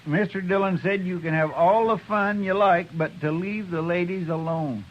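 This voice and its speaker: An actor playing an easygoing, deferential cowboy deputy with a folksy, polite drawl in a 1950s radio western. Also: male voice, high voice, fairly even intonation, moderate pace, lightly acted. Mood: placating. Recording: dull, noisy old radio recording.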